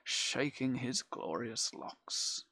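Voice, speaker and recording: A man's voice: medium pitch, animated, extremely slow, sharp tone, no echo, heavily acted. A man reading the lines of a bold, cheerful medieval lord in a verse play, hearty and declaiming.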